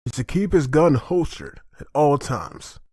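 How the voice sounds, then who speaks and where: A man's voice: fairly high pitch, very animated, unhurried, a little mellow, breathy edge, no room sound, clean studio sound, heavily acted. A man playing an earnest, protective father who leads survivors in an amateur comic dub. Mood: firm, announcing.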